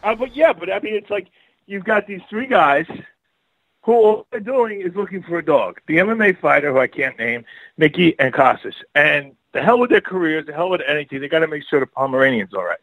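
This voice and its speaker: Rambling New York man, higher voice. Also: lively intonation, brisk, a bit bright, no room sound, poor audio. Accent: Brooklyn/Long Island New York. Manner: rambling storyteller, laughs often, many fillers. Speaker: guest author and filmmaker. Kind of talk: casual radio interview.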